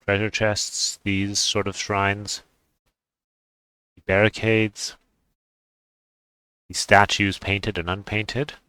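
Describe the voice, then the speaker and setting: A man's voice: on the low side, fairly bright tone, smooth, no room sound, low-quality recording. A man giving casual, unscripted commentary on a game, rambling in an offhand way as he thinks aloud. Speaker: gaming video creator.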